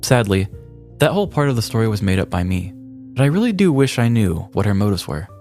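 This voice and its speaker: Male podcast co-host, low register, scripted humorous crime narration, light music bed. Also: sing-song, fast talker, a little mellow. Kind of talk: scripted comedic true-crime storytelling.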